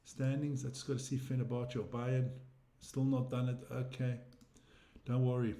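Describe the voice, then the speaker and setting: A man's voice: mid-range voice, level tone, normal speed, dark tone, reverberant. A man with a South African English accent hosting a casual live stream, rambling through sports stats and reading out viewer comments. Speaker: livestream host.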